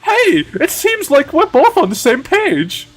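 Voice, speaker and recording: An earnest, flustered young game hero, pitched very high and swooping widely. The voice is strained and emphatic, with an attempted German accent. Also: male voice, wildly swooping pitch, measured pace, a bit bright, soft background music, exaggerated voice. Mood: indignant, eager.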